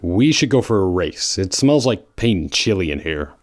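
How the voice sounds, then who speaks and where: A man voicing a cautious, sardonic hedgehog antihero, deadpan and grumbling, in a fan audio drama. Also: sits low, normal speed. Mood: wry, gloomy.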